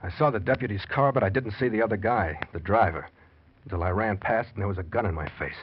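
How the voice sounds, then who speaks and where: A man's voice: fairly low pitch, quick pace, a little hiss or hum, close to an everyday voice. A man with an American accent playing a bitter, desperate murder plotter in the style of 1940s radio drama. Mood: defeated. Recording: muffled vintage 1940s radio recording.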